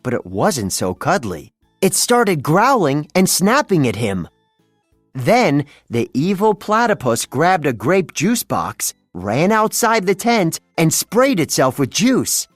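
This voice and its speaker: A narrator reading a children's read-along storybook in an expressive storytelling manner. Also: mid-range voice, slow pace, no echo, clean studio sound, soft background music.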